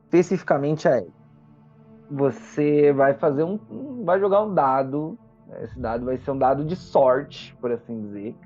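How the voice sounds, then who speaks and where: A mellow, warm-toned game master narrates a tabletop fantasy game on the fly. The phrasing is explanatory and halting. The sound is a little muffled, with faint music behind. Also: medium pitch, lively intonation.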